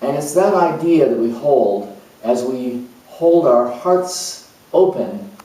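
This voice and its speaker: An actor playing a calm, spiritual hospice coordinator who speaks in an earnest, searching way with many pauses. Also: male voice, mid-range voice. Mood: reflective. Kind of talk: dramatized monologue. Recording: very echoey room, mic far off.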